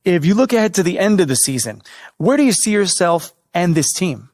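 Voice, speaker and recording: A man's voice: high-pitched, big pitch swings, brisk, a little mellow, no echo, studio quality. An actor playing a polite, put-upon sports journalist in a video game, hesitant and accommodating as he interviews. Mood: politely professional. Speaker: interviewer, reporter.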